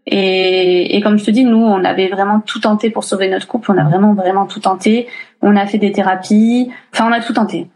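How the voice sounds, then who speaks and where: A woman's voice: medium pitch, steady tone, a little fast, darkish tone. A woman in her thirties talking casually in an interview, chatty and full of fillers and restarts. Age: 30s. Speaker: interviewee, single mother. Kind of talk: casual chat.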